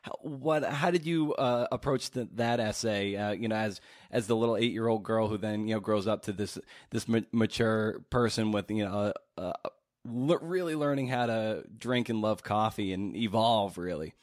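A man with a fairly low voice hosts a conversational interview. He builds long questions haltingly, often doubling back and repeating words as he goes. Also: darkish tone. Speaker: podcast host, interviewer.

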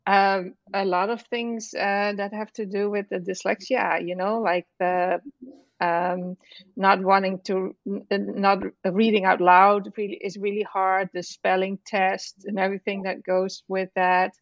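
A middle-aged woman from the Netherlands speaks slowly in English, her second language, with a clear Dutch accent. She pauses often and restarts her sentences. The sound is dry and slightly dull. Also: mid-range voice, level tone, no room sound. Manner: halting, many fillers and restarts. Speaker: author guest. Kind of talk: casual interview chat.

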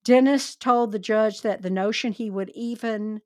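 A woman hosting a true crime podcast, telling the case in a chatty, animated, wry way. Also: a little high, moderate pace, no echo, clean studio sound. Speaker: podcast host.

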